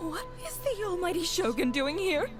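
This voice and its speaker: A woman's voice: very high-pitched, sing-song, normal speed. A woman playing a startled, awestruck townswoman in an anime-style video game. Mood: shocked. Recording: game music behind the voice.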